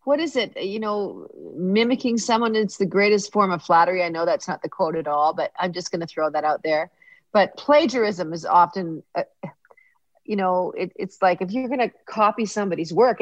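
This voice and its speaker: A woman's voice: lowish voice, lively intonation, fairly quick, no room sound, muffled recording. A woman hosting an interview, chatting and rambling into stories with her guest. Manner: chatty, warm-hearted, rambling storyteller. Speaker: podcast host. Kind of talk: casual interview chat.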